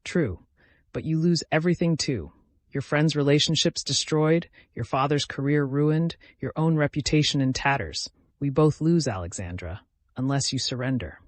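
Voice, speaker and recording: A man voicing a vengeful, calculating woman in an audiobook, deliberate and accusatory, with bitterly controlled grief. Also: fairly high pitch, no room sound, lightly acted. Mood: controlled threat. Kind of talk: dramatic audiobook character reading.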